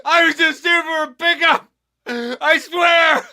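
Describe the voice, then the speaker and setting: An actor playing a frantic, defiant criminal thug in a video game, blurting and pleading in a strained, gravelly voice. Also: male voice, very high-pitched, takes it slowly, sharp tone, heavily acted. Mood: panicked defiance. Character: panicky, defiant, foul-mouthed male criminal thug.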